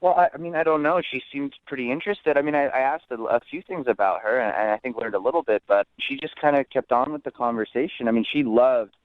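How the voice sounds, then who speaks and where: A man's voice: mid-range voice, quick pace, hardly any pauses, dry recording. A male caller on a narrow, tinny phone line with a mid-range voice and no room sound. He talks casually and earnestly, stumbling and repeating words as he goes.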